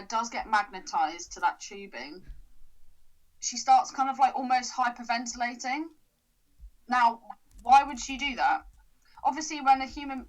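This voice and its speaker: A woman with a fairly high voice co-hosting a podcast in casual conversation. She questions things and picks apart the logic point by point, with some casual swearing. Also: low-quality recording. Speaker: podcast co-host. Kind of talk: casual film discussion.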